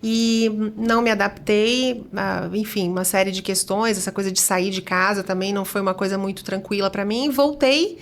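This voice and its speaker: A woman in her forties with a Brazilian accent, a German native speaker, telling her story as a relaxed guest who often ends phrases with tag questions. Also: medium pitch, normal speed, few pauses. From born in Germany, raised in southern Brazil. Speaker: singer and interview guest. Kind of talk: casual conversational interview.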